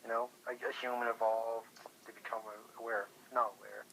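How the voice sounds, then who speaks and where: Over a phone line with faint noise, a man talks in a bright, forward voice. He chats casually as a guest, hesitating and working out his thoughts as he goes. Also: mid-range voice, flat delivery, fairly quick, creaky. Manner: hesitant, thinking aloud. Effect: telephone. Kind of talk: casual chat. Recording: noisy phone call.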